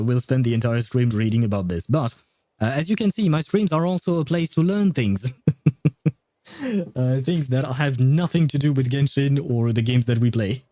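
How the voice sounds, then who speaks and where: Young male streamer, warm low-key tone, dry close mic, rambling and good-humored. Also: no room sound. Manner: chatty, laughs often, thinks aloud while reading. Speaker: gaming livestreamer. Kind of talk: casual livestream chat.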